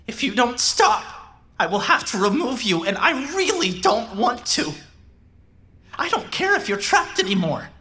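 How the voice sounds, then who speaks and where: A woman's voice: fairly high pitch, very animated, a little slow, pushed and bright, exaggerated voice. A woman playing an intense young robot who was born human, pleading and menacing, with an emphatic, pressing way of talking in an audio drama. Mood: quiet threat. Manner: emphatic, repetitive, emotionally pressing.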